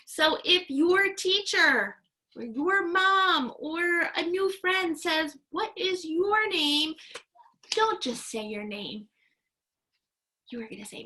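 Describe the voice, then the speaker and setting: A woman teaching young children in a read-aloud lesson, encouraging them with sing-song, drawn-out key words and simple instructions. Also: sits high, lively intonation, bright, forceful tone. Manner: encouraging, praising young learners.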